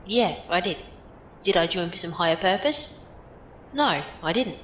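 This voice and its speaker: A woman's voice: expressive, unhurried, a bit bright. A woman playing a breezy, blunt, tough female teammate in an audio drama, talking in a casual, folksy, direct way. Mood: blunt, earnest. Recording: echoey room with faint noise, rumble.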